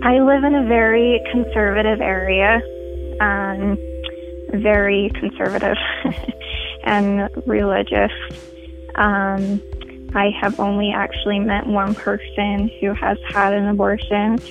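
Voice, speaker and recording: A woman's voice: mid-range voice, level tone, slow pace, highs cut off, over music. A woman in her twenties leaving a personal recorded message as a listener, speaking casually and hesitantly. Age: 20s.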